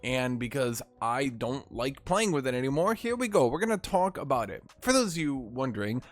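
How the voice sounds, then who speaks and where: A man with a slightly hoarse voice hosting a gaming video, ranting with sarcastic, salty commentary. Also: medium pitch, very animated, moderate pace.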